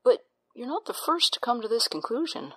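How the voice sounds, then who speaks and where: A woman playing a doctor in an audio drama, breathy, soothing and clinical, with a patronizing, unsettling edge. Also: on the high side, very animated, fairly quick, bright, forceful tone, exaggerated voice, rough recording. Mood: clinical, assured. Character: calm, smoothly reassuring doctor with an unsettling edge.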